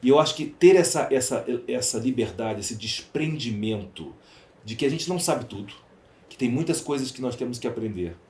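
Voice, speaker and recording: A man's voice: mid-range voice, expressive, moderate pace, a little mellow. Brazilian man in a roomy, echoing space. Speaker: podcast guest, entrepreneur. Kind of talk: conversational interview answers. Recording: roomy echo, clear background noise.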